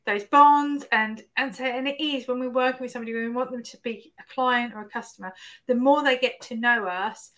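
A woman's voice: a little high, steady tone, fairly quick, a bit bright. A woman hosting a podcast interview, chatty and reflective, sharing her own stories. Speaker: podcast host.

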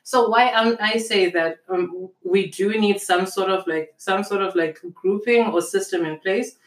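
A woman's voice: mid-range voice, a little slow, roomy sound, poor audio. A young woman co-hosting a casual discussion, thinking aloud with many restarts and fillers. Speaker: podcast co-host.

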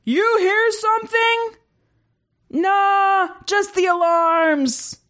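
A woman's voice: extremely high pitch, steady tone, very slow, fairly bright tone, pitched above the performer's own voice, exaggerated voice. A woman voicing a callous, gossipy alien crew blob with a dismissive, grumbling manner in a sci-fi story. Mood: offhand.

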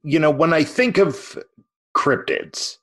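A man's voice: on the high side, expressive, a bit bright. A man hosting a podcast interview, chatting casually and readily agreeing with his guest. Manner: casual, agreeable, quick to affirm. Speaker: podcast host.

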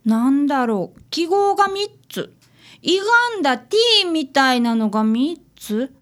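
A woman's voice: high-pitched, lively intonation, measured pace. A woman playing an earnest, polite fifth-grade boy detective apprentice in a radio drama, who also narrates. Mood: flustered.

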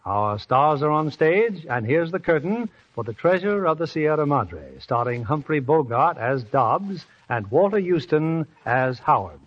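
A man's voice: a little high, sing-song, a little slow, few pauses. A man presenting a 1940s radio drama in a formal showman manner, on a dull old radio recording. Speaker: radio drama producer host.

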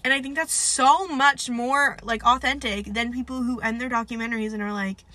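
A woman's voice: fairly high pitch, fairly even intonation, normal speed, bright, forceful tone. A young woman with a stuffed-up, nasal voice, hosting a casual solo podcast and rambling with lots of "like" and "um.". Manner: rambling, filler-heavy, self-deprecating. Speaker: podcast host.

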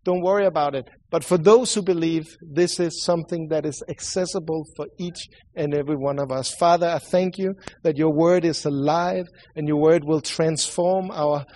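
A man with a Swedish accent delivers a sermon in a voice pitched a little higher than most men's. He speaks earnestly and haltingly, often restarting and repeating his words as he preaches and prays. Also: fairly even intonation, a little mellow. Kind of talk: sermon with prayer.

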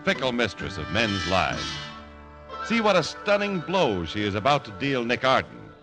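Casting: theatrical old-time radio narrator, medium pitch, animated, music underneath. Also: male voice, moderate pace. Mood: mock grave. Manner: florid, theatrical. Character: dramatic, mock-grave male narrator. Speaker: announcer. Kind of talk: 1950s radio drama narration.

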